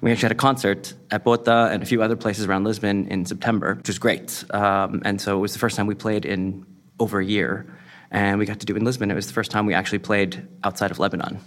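A man's voice: on the low side, quick pace. A young adult man, a musician, talking casually in an interview, rambling a little with many fillers. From United States. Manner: relaxed, rambling with frequent fillers.

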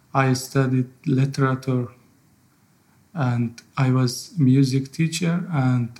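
A man in his thirties from Afghanistan with an Afghan accent and Farsi as his first language, telling his own story in halting English. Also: medium pitch, a little mellow. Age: 30s. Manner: halting, non-fluent English. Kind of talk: personal spoken testimony.

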